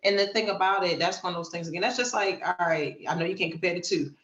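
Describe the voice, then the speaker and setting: A woman's voice: lowish voice, fast, roomy sound, lo-fi sound. A woman co-hosting a podcast, reading news items and reacting in casual, emphatic chat. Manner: animated, emphatic storytelling. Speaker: podcast co-host.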